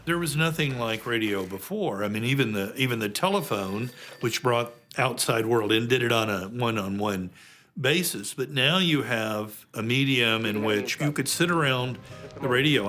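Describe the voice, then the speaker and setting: A man's voice: mid-range voice, a bit bright. An older man talking reflectively as an expert in an interview.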